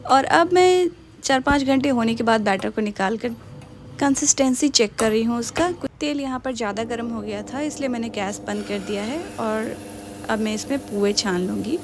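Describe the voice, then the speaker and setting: A woman's voice: fairly high pitch, a little mellow, slight background noise. A young woman casually narrating her home cooking in a voice-over, chatty and mixing in English words. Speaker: cooking vlogger.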